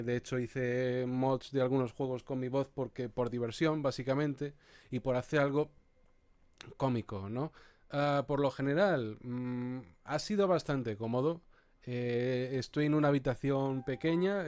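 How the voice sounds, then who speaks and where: Guy, chatty but hesitant, normal pitch, bit of music under him. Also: darkish tone. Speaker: amateur voice actor. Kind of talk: casual interview testimonial. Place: small home office.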